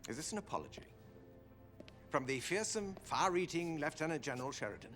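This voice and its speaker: Sardonic army officer, game cinematic. Blunt, theatrical, animated intonation, faint music underneath. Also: male voice, on the high side, fairly quick. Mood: wry, warm. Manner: wry, theatrical emphasis. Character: sardonic, blunt male army officer, loyal old colleague. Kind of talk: scripted game cutscene drama.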